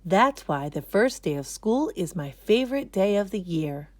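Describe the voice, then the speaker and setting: A woman reading a children's story aloud as an expressive narrator. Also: medium pitch, normal speed, no room sound. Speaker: storybook reader.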